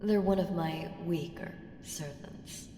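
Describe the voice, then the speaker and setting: A woman's voice: fairly low pitch, slow, dark tone. A woman voicing an ancient evil entity in an ASMR roleplay, seductive, sly and taunting, with ominous teasing and whispered phrases. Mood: coaxing, ominous. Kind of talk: ASMR roleplay audio drama. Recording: reverberant hall effect, faint noise.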